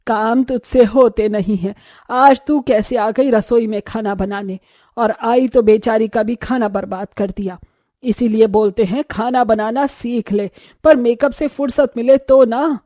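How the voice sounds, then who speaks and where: Woman acting an older mother-in-law, scolding, stern, high voice, mellow tone, cartoon family drama. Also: fairly even intonation, measured pace, no echo, clean studio sound, natural voice. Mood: exasperated. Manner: nagging, stern.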